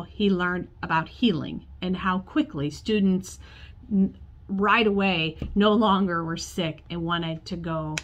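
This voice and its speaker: A woman in her forties, a pastor, preaching a sermon to camera from her office, telling a story in a warm conversational way. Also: lowish voice. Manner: storytelling, acts out quoted voices, gestures with hands. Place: church office with bookshelves, indoors.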